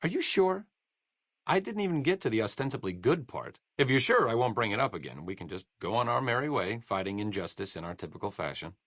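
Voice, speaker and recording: A man's voice: medium pitch, very animated, fairly quick, a bit bright, no echo. An actor playing a young, learned male scientist companion in a game, with a dry, sardonic wit and educated phrasing. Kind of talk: studio game voice acting. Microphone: close studio mic.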